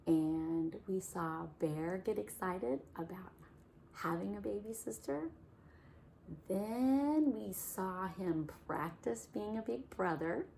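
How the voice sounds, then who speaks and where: Woman storytime reader, low-pitched, unhurried, soft questions to children, faint noise in the recording. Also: vocal fry. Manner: gentle, asks the child questions about the pictures. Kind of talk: storytime read-aloud for kids.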